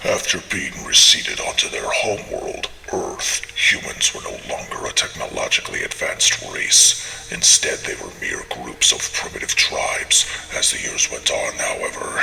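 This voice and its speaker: A gravelly alien warrior chronicler. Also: male voice, extremely low pitch, bright, forceful tone, roomy sound, exaggerated voice, low-quality recording. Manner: formal, measured military report delivery. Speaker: narrator of an audio log. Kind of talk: scripted in-character audio log narration.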